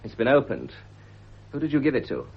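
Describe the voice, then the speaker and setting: An actor playing a well-spoken, down-on-his-luck young British gentleman, polite and wry, in a 1950s radio drama. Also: mid-range voice, huge pitch swings, fairly quick, exaggerated voice. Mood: wry, puzzled. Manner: polite, wry, educated phrasing. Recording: dull, husky 1950s radio recording.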